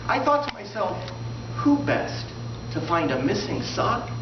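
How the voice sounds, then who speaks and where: Slow, theatrical male storyteller, swooping pitch. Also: very animated. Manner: theatrical, deliberate pauses for punchlines. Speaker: storyteller in a tall-tale contest. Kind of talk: comic storytelling performance to a live audience. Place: indoor room before a live audience, recorded from afar. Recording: roomy, distant, muffled live recording with loud noise.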